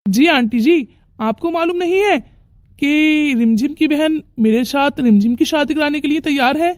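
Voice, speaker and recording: A woman's voice: high voice, normal speed, no echo, lightly acted. A woman voicing brash, defiant young men in a dramatic, confrontational Hindi family melodrama cartoon. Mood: cocky. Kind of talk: Hindi moral-story cartoon dialogue.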